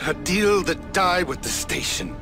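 A paranoid older man on the run, with a fairly high voice and agitated, broken delivery. Game music plays clearly behind him. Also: expressive. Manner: fragmented, agitated, halting. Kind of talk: video game cutscene acting.

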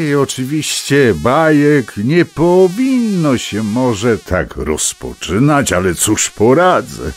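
An actor playing a wordy, old-fashioned storyteller who narrates a children's fable with pompous, digressive relish. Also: male voice, mid-range voice, a little mellow, heavily acted. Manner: pompous, digressive, savoring each word.

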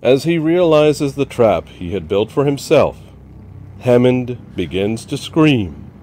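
Slow, dramatic male horror narrator. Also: medium pitch, sing-song, dark tone, slight background noise.

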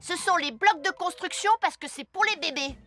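A woman's voice: very high-pitched, normal speed, a bit bright. A woman voicing a cheeky, reckless young boy, bossy and boastful, in a French cartoon dub. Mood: cocky.

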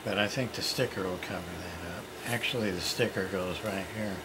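A man's voice: low-pitched, fairly quick. A middle-aged man muttering short, casual, unscripted remarks to himself while handling things in a cluttered room. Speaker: hobbyist unboxing a radio parts package. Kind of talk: casual unscripted unboxing commentary. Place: small cluttered room indoors. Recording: very noisy home camcorder audio, rustling.

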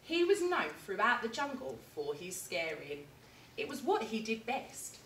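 A young woman teacher reading a picture book aloud with lively, expressive storytelling, in a classroom reading corner recorded from a distance. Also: fairly high pitch, unhurried, slight background noise. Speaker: primary school teacher. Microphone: camera at a distance. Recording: echoey room, mic far off.

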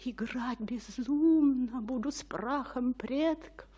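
A young tragic heroine of radio drama, lovestruck and full of fear. Her voice is fairly high and husky with breath. She speaks slowly and theatrically, in anguish, often close to a whisper. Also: dark tone, heavily acted. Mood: rising dread. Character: frightened, lovestruck young noblewoman in a tragedy.

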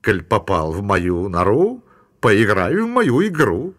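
A man voicing a sly, sharp-toothed thieving ferret in a children's audio storybook, speaking in a coaxing, inviting way. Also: on the low side, very animated, slow pace, exaggerated voice.

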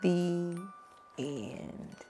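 A young woman reading a picture book aloud to a child at home, gentle and expressive. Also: lowish voice, drawn-out words, soft background music. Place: home bedroom, indoors.

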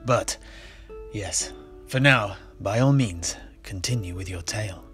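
A man playing a genial old fantasy tavern keeper and storyteller, with courteous, old-fashioned, measured phrasing. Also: on the low side, fairly bright tone. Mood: courteous, storytelling. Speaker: host. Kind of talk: in-character fantasy roleplay dialogue. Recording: music behind the voice.

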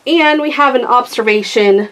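A woman's voice: fairly high pitch, normal speed, fairly bright tone, poor audio. A woman hosting a casual toy review, talking through it in an enthusiastic, gushing manner. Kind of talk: casual unboxing and demonstration chat.

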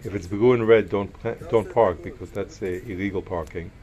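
A man narrating a casual walking tour outdoors as a tour guide, relaxed and full of fillers and restarts. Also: low voice, normal speed. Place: outdoor market compound with wooden walkways.

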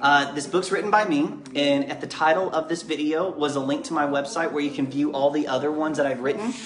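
Man, thirties, American accent, father reading bedtime stories and talking to viewers; gentle, encouraging delivery, roomy home recording. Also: fairly high pitch, fairly even intonation, fairly quick, a crowd in the background. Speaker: father and children's book author reading aloud. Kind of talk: bedtime story reading and casual chat to viewers. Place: living room at home, indoors. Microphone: camera or phone propped just beyond arm's length. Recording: echoey living room, music behind.

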